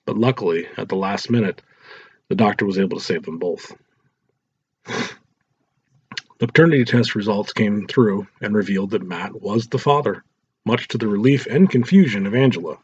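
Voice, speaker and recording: A man's voice: fairly low pitch, moderate pace, long pauses, a little mellow, husky, no room sound, muffled recording. A man narrating a scripted story in an audiobook style.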